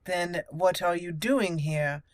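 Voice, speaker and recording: A woman hosting a roleplay gaming video, mixing casual commentary with deliberate readings of her character's dialogue. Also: fairly low pitch, no echo.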